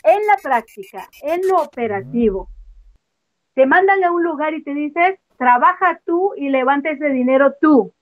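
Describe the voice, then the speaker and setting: A woman's voice: high-pitched, lively intonation, moderate pace, no echo. A woman giving frank, emphatic testimony as an interview guest over a video call. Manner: frank, emphatic, laughs off sensitive points. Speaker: guest, former member. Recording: dull, dry call audio.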